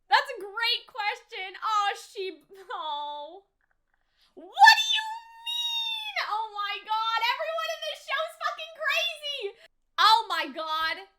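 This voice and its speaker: A woman reacts aloud to a show as she watches, in a very high, sharply bright voice. Her delivery is slangy and exclamatory, with a lot of melodic swing and some swearing. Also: sing-song, slow pace. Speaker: reaction video host. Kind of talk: live anime reaction commentary.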